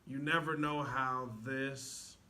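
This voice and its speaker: Bright, forceful-voiced man in casual interview. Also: medium pitch, flat delivery, slow. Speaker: stage actor, interview guest. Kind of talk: casual, earnest promotional talk. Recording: faintly noisy room recording.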